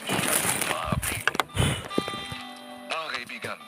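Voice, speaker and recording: A man's voice: medium pitch, sing-song, slow pace. A man delivering a dramatic scripted introduction as a radio drama narrator, with music behind him. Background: loud music.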